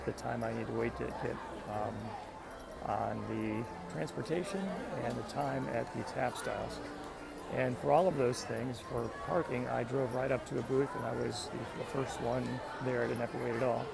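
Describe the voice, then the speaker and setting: Gravelly-voiced man livestreaming over background music. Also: mid-range voice. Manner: friendly, greets viewers by name. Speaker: livestream host. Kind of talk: casual walking livestream commentary, reading out viewer comments. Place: outdoors in a busy theme park. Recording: outdoor park with loud background music.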